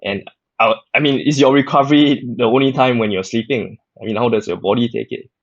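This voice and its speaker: A man's voice: medium pitch, a little fast, creaky, dry recording. A man hosting a podcast, checking back on what his guest said and asking follow-up questions. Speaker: podcast host. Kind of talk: casual interview questions. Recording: dry, muffled lo-fi audio.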